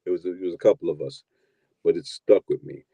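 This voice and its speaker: Deep mellow new york guy in his 50s, laid back storytelling, dry close sound. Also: fast talker, no room sound. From New York, USA. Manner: relaxed, rambling, repeats words at starts. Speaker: guest, producer and DJ. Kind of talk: casual interview storytelling.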